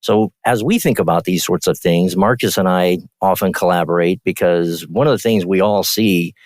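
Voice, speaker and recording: A man speaking as a podcast guest, in a relaxed and businesslike way. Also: low voice, moderate pace, no room sound. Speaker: podcast guest, payments executive. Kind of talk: podcast interview.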